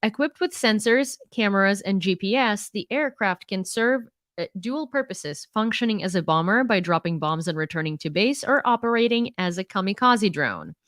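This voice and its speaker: A woman's voice: medium pitch, expressive, normal speed, fairly bright tone, no echo, clean studio sound. A young woman from Ukraine with an American accent, hosting a live stream, chatty with many fillers and frequent laughs, reading out news.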